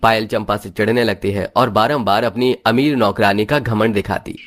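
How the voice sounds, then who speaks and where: A man's voice: lowish voice, steady tone, moderate pace, fairly bright tone. A man reading scripted narration for a moral story as its narrator.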